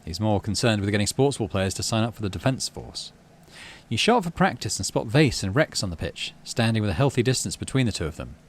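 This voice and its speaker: A man's voice: fairly low pitch, very animated, quick pace. A man casually commentating on a game, thinking aloud in murmured asides and reading story text out. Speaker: gaming channel host.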